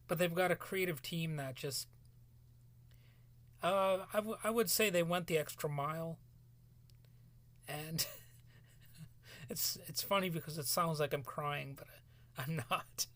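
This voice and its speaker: A man talking solo in a rambling podcast commentary, halting and restarting often, his voice cracking as he loses it. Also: a little high, fairly bright tone, no room sound. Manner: halting, many restarts and fillers. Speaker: podcast host.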